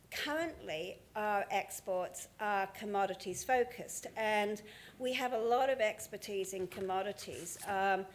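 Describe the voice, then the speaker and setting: A woman presenting at a conference, speaking with many pauses, ums and restarts. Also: mid-range voice, slight background noise. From Australia. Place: conference hall.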